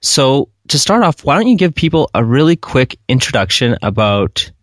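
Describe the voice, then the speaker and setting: A man's voice: mid-range voice, very animated, moderate pace, fairly bright tone, dry recording, clean studio sound. A middle-aged man hosting a podcast, chatty and enthusiastic, rambling as he sets up his questions. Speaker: podcast host. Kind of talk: casual interview.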